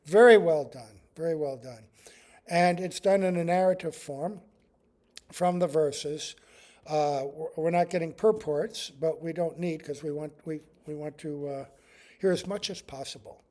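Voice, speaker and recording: Casting: man leading a devotional class, mellow, rambling, fairly high voice. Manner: chants prayers slowly, then talks in a relaxed, rambling way. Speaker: speaker giving a class. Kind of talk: devotional class with chanted prayers.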